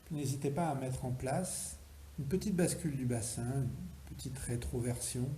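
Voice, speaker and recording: A man with a deeply mellow, relaxed tone leads a yoga nidra session. He gives instructions slowly and deliberately, leaving space between phrases. The recording is noticeably roomy, with faint noise underneath. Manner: slow, deliberate, instructing with pauses. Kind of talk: guided relaxation meditation.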